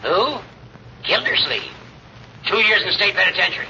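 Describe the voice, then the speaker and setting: Far off in a noisy old radio recording is a crusty older judge. His high voice swings up and down as he needles and grumbles with dry sarcasm, 1940s comedy style. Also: very animated, moderate pace, sharp tone, muffled recording, full character voice. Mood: testy.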